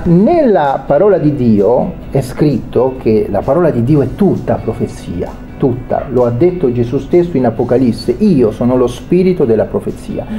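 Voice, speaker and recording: A man preaching a sermon, reading a Bible passage aloud and then explaining it with pauses and restarts. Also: fairly high pitch, warm tone. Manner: reads scripture then explains it, hesitant pauses.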